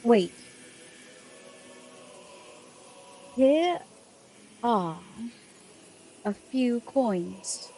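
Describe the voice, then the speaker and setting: A woman acting in a gothic radio drama as an innocent, curious young gentlewoman with a hesitant, polite way of speaking. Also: on the high side, lively intonation, drawn-out words, a little hiss or hum, heavily acted, poor audio. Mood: hesitant, insistent.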